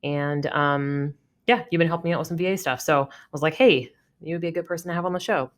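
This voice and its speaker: A woman's voice: low voice, fast talker. A woman in her thirties from Oregon, hosting a casual business podcast interview, chatty with many ums and laughs. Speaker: podcast host.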